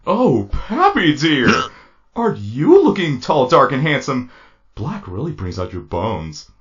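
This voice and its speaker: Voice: mid-range voice, wildly swooping pitch, a little slow, slight background noise. An actor voicing a vain, flirtatious robot showman with a theatrical, drawling, campy manner, in a comic dub. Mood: gushing, flirty. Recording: dull lo-fi audio with music behind.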